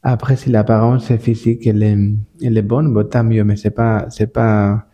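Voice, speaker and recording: A man with a Spanish-speaking accent, a spa manager speaking French as a guest on a casual podcast, halting and full of repeated words and restarts. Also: lowish voice, flat delivery, warm tone.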